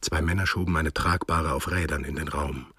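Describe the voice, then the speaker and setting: A man's voice: a bass voice, fairly even intonation, moderate pace, bright, forceful tone, breathy edge, no echo. A man narrating a radio drama from a script in standard German.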